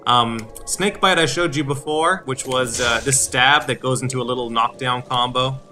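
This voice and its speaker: A man's voice: mid-range voice, moderate pace, bright, forceful tone. A man giving explanatory video game commentary, with hesitant phrasing and repeated words. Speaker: gameplay host. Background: game music.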